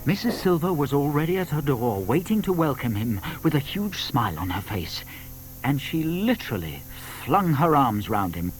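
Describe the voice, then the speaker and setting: A man playing a genial, wry old tortoise who narrates a children's audio story in an expressive, confiding way. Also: medium pitch, moderate pace, faint music behind, close to an everyday voice, low-quality recording. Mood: excited.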